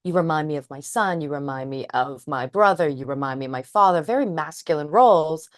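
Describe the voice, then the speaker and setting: A woman with a notably low voice talks earnestly about her work as a trauma therapist. She sounds thoughtful and measured. The recording is dry and close, with no room sound. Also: lively intonation, no echo. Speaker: trauma psychotherapist and podcast co-host. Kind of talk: casual chat.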